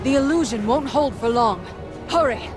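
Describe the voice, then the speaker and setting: A woman acts a human sorceress who leads as an admiral in a fantasy video game. Her voice sits a bit high, earnest and full of authority, with loud music underneath. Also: lively intonation, moderate pace, close to an everyday voice. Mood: urgent.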